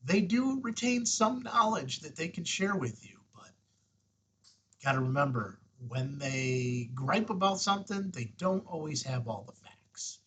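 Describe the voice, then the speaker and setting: A man with a slightly husky voice hosting a casual hands-on tutorial in a folksy, plain-spoken, conversational way. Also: fairly high pitch, sing-song, measured pace, a bit bright, slight background noise, poor audio. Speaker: host of a ham radio tutorial channel.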